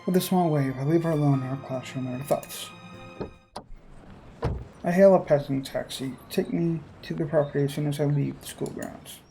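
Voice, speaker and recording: Mellow, gravelly game narrator. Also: mid-range voice, faint music behind, rough recording. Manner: informal, halting asides. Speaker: let's player reading a visual novel aloud. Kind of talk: reading story narration aloud with casual commentary.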